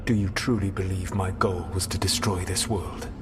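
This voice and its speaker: An actor playing a proud, weary ancient mage in a fantasy video game, speaking in measured, formal phrases. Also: male voice, deep voice, fairly even intonation, music in the background, close to an everyday voice. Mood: defensive, solemn. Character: solemn, proud, weary ancient male mage, grave and self-justifying.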